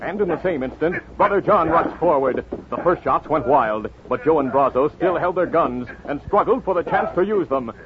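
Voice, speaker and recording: A man's voice: fairly high pitch, lively intonation, normal speed, few pauses, crowd noise. Emphatic old-time radio man narrator. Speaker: announcer and narrator. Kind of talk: dramatic 1950s radio narration. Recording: tinny, noisy 1950s radio recording.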